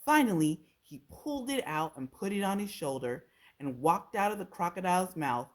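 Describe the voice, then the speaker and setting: A woman's voice: lowish voice, animated, moderate pace. A woman reading a children's storybook aloud as a storytime narrator. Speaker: storytime reader.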